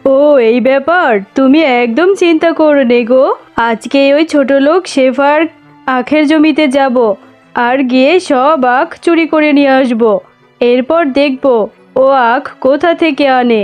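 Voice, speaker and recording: Woman as a bossy high-voiced cartoon tigress. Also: measured pace, faint music behind. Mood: scheming. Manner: scolding, decisive. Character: bossy scheming tigress wife. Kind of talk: cartoon voice acting.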